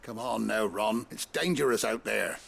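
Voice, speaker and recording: A man playing a steady, protective working man in a video game, soothing and gravelly. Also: on the low side, normal speed, heavily acted. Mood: calm, placating. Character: calm, placating working man, quietly protective.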